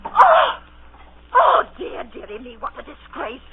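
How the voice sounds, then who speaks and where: A fretful mother in a vintage radio play. Her high voice swoops about in fluster. The recording is thin and clearly noisy. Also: wildly swooping pitch, measured pace, phone-quality sound, full character voice. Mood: alarmed, fretful. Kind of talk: 1930s radio acting.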